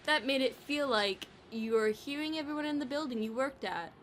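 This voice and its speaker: A woman's voice: high voice, animated, normal speed, fairly bright tone, a little hiss or hum. A woman playing a blunt, skeptical, sardonic ghost in an audio drama.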